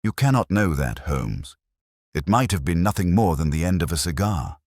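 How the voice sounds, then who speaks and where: A man voicing a gruff, sceptical male police inspector who talks bluntly and mockingly in an audiobook detective story. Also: sits low, animated, moderate pace, a little mellow, no room sound, studio quality. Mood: dismissive. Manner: blunt, scoffing.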